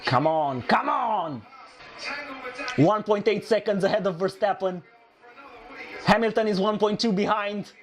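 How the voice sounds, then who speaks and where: Hoarse excitable man reacting to a race. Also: high-pitched, measured pace, long pauses. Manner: excitable, shouting at overtakes, repeating phrases. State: hoarse, losing his voice. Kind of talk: live race reaction commentary.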